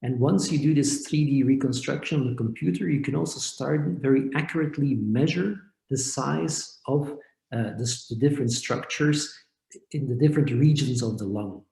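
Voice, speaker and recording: A man from Belgium, a company founder, explaining his work step by step in a measured way over a video call. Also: mid-range voice, gravelly, dark tone. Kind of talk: explanatory interview answers. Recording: echoey room.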